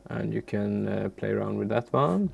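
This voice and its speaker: A man from Sweden, a native Swedish speaker, giving an informal, unscripted software tutorial in a halting, unassuming way with a gravelly, creaky voice. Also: fairly low pitch, expressive, lo-fi sound. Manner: halting, searching for words, unassuming. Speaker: music software tutorial presenter. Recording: faint background noise, keyboard clicks.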